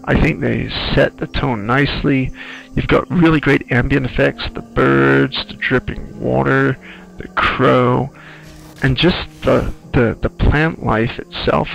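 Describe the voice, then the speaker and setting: A man giving casual playthrough commentary, explaining things in a halting, thoughtful way with game audio behind him. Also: mid-range voice, fairly even intonation, vocal fry, fairly bright tone, faint music behind, rough recording. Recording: faint noise, game sounds behind. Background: video game audio.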